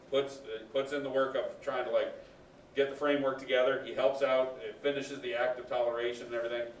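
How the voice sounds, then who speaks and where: A male teacher with a booming voice, lecturing casually and quizzing his class. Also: slight background noise. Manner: loud, booming, conversational explaining. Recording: echoey classroom, far from the mic.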